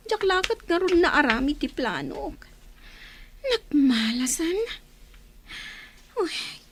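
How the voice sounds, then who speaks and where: Woman playing a coy Filipino flirt, high-pitched and swooping. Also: heavily acted. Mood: annoyed, scheming, then swooning, longing. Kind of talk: Filipino radio drama acting.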